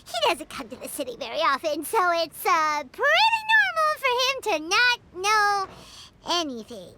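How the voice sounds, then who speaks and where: Squeaky childlike fairy girl, game character, excitable, drawn-out delivery, noisy background. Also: exaggerated voice. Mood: flustered, covering up. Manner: speaks of herself in third person, flustered.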